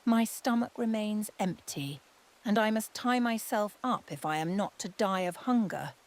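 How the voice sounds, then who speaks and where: A woman's voice: mid-range voice, lively intonation, a little slow, voice pushed up. An aggrieved glutton with endless hunger, out of a storybook, as a woman narrator reads him. He complains that nothing will ever fill him. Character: ravenous grimacing man with endless hunger.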